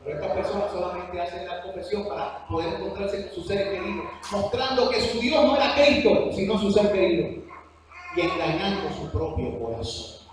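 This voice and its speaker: A man with a Cuban accent preaching a sermon to a church class, earnest and slow on his emphatic phrases. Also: high voice, very animated, noisy background. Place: church hall. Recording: echoey hall from far off.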